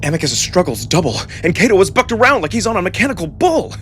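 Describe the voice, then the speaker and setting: Expressive man narrating a dramatic story over music. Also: sits high, quick pace. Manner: expressive, suspenseful. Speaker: narrator and voice performer. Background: background music.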